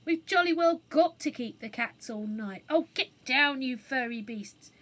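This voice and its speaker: A woman's voice: high voice, animated, unhurried, a bit bright, exaggerated voice. A woman voicing a forthright, cheeky young boy in a children's storybook, blunt and slangy, easily exasperated. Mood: bitter, exasperated.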